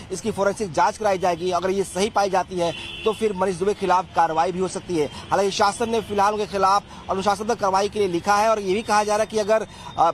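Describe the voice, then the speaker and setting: A man's voice: high voice, slight background noise. A male field reporter reading a formal news report.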